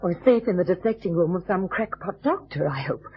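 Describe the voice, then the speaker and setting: An actress playing a plucky, sardonic detective's sidekick with a dry, quipping manner, in old-time radio style. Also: female voice, fairly low pitch, expressive, brisk, close to an everyday voice. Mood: wry, uneasy. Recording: dull, noisy vintage radio recording with hum.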